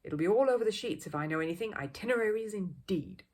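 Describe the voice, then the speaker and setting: A woman storyteller voicing a stern, grumbling older housekeeper who speaks with dark disapproval. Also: medium pitch, lively intonation, fast, natural voice.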